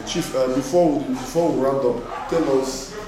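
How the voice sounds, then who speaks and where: A Nigerian-accented man interviewing someone informally in a mid-pitched voice. He sounds far from the microphone in a very echoey space, with a clear crowd behind him. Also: normal speed. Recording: echoey, distant mic, crowd noise behind.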